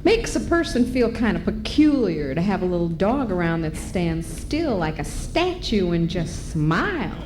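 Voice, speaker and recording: Folksy, lively-voiced mom, echoey room. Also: female voice, fairly low pitch, measured pace, hardly any pauses. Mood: gently skeptical. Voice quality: folksy, colloquial speech. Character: homely, skeptical small-town mother, gently amused. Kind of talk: old-time radio comedy acting. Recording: echoey, noisy ballroom recording.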